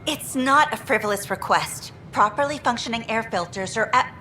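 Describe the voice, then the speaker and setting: A woman's voice: on the high side, lively intonation, normal speed, bright, forceful tone, a little hiss or hum. An actress playing a frustrated female station worker who vents with exasperation and dry humor. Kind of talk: video game dialogue.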